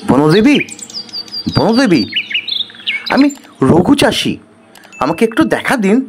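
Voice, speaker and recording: A man voicing a humble, earnest poor village farmer with an expressive, pleading manner in a moral story cartoon. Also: sits high, takes it slowly, noisy background, exaggerated voice.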